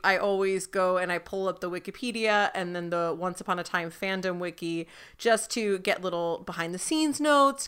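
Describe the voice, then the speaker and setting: A woman hosting a podcast, chatting casually about an episode, her voice a bit deep after an illness. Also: a bit bright, clear, resonant tone. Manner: chatty, restarts, laughs while recapping. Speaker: podcast host. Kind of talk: casual chat.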